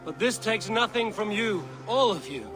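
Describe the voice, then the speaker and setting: A proud Viking leader from a video game, with a fairly high male voice over prominent music. The speech is measured and stately, made to rally a clan. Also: expressive, rough recording. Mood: proud, ceremonial.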